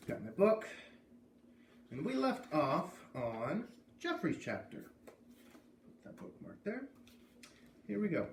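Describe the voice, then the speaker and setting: A man's voice: fairly high pitch, darkish tone. A man, a teacher reading a children's novel aloud in a storytelling style with casual asides. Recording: roomy, distant mic, faint background noise.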